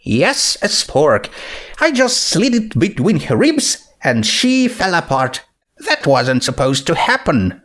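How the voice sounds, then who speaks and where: A man's voice: fairly high pitch, huge pitch swings, slow pace, fairly bright tone, pitched above the performer's own voice, exaggerated voice. A man voicing a bookish, awkward young female pony who speaks in an earnest, wordy way in a story reading. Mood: subdued. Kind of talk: fan fiction character reading.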